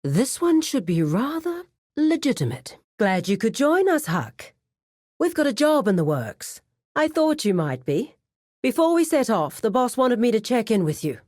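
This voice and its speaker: A woman playing a composed, dutiful lieutenant to a crime boss in a video game, speaking in a measured, formal, businesslike way. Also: a little high, big pitch swings, darkish tone. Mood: businesslike, firm. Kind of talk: video game character voice acting.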